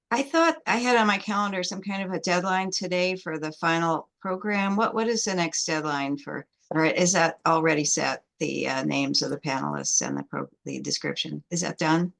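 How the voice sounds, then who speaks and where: A woman's voice: fairly low pitch, expressive, normal speed, gravelly, a bit bright. A woman on a video call asking about deadlines, rambling slightly as she questions.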